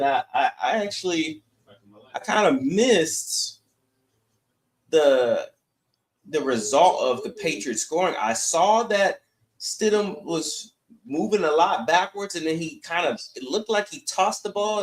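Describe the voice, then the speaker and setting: Echoey, opinionated male sports podcaster. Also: fairly high pitch. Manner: opinionated, blunt. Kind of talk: casual sports commentary. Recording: echoey room sound.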